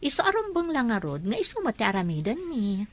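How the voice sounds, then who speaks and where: A woman's voice: fairly high pitch, huge pitch swings, fast. A woman playing a dutiful, kind young daughter in a radio-style drama. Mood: caring.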